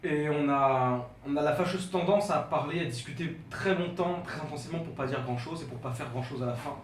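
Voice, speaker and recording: A man's voice: fairly high pitch, brisk. A young man, a student guest on a casual podcast, talking in a thoughtful, wry way. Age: young adult. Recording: echoey room, mic at a distance, faint noise.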